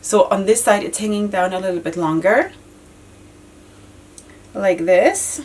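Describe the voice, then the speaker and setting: A woman's voice: mid-range voice, a little slow, darkish tone. Chatty woman demonstrating head wraps, mic a little distant. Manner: chatty, repeats words, frequent fillers. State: losing her voice, occasional cough. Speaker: head-wrap tutorial host. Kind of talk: casual step-by-step tutorial. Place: indoor studio with floral backdrop.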